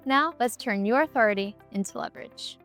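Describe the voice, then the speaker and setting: A woman's voice: on the high side, a little fast, fairly bright tone, faint music behind. Upbeat woman reading a promo. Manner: upbeat, salesy. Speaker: show host. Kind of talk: scripted promo read.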